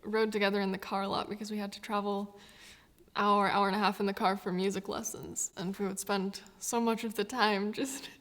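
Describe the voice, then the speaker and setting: Soft-spoken young woman, reflective, laughing often. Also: mid-range voice, little pitch movement, a little fast. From New Zealand, raised in the United States. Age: 20s. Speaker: violinist. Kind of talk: documentary interview.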